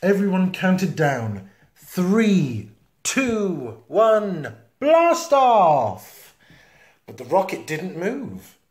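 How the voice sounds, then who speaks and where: A man reading a children's picture book aloud in an expressive, playful manner. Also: high voice. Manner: expressive, slow, with playful sound effects and asides. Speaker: storytime reader. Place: small indoor room, seen close to the camera. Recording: roomy, echoey home video sound.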